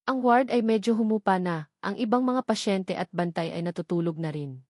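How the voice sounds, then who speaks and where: Woman storyteller, gentle measured reading, mid-pitched voice, dry close sound. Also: no echo. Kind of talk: scripted romance narration.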